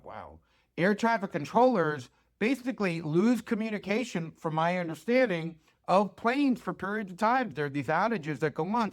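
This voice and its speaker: Higher-pitched American man pitching investments. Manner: conversational, emphatic, rhetorical questions and check-ins. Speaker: financial newsletter host. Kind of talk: informal investment pitch to camera.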